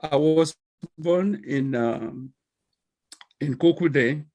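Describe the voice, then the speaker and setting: A man speaking slowly and thoughtfully at a raised pitch, pausing often on fillers as he gives a talk over a video call. His first language is Kabye. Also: a little mellow. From northern Togo. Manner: slow, reflective, halting with fillers. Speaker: librarian presenter. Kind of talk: virtual meeting talk.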